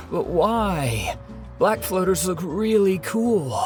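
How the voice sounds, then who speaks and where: A woman playing a gullible, sheepish young customer in an anime game, hesitant and expressive, with music underneath. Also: lowish voice, very slow, full character voice.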